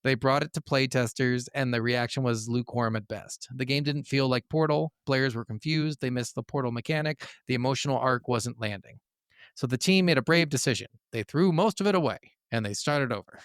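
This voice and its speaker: A man's voice: medium pitch, fairly quick, clear, resonant tone, no echo, clean studio sound. A man co-hosting a gaming podcast, moving between narration and casual, blunt, sweary chat. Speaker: podcast co-host.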